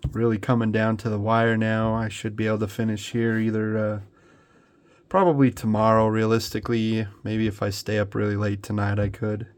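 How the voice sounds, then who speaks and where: A young man with a cold, sounding congested, chatting casually through an unboxing at his hobby bench with plenty of ums and restarts. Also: fairly low pitch. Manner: relaxed, rambling, lots of ums and restarts. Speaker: scale model builder. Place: home hobby workbench.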